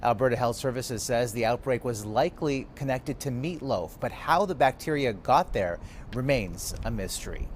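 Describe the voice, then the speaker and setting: A man anchors a newscast. His voice sits at a medium pitch as he reads from a script. A low rumble of noise runs faintly underneath. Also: lively intonation, normal speed. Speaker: news anchor. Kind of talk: scripted news reading.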